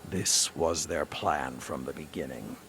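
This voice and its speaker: An actor playing a grave, stern veteran commander in a video game, with a gravelly voice and a solemn, commanding, deliberate delivery. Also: male voice, a bass voice, fairly bright tone, a little hiss or hum, full character voice. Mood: grave resolve. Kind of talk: dramatic video game dialogue. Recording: dramatic music behind the voice.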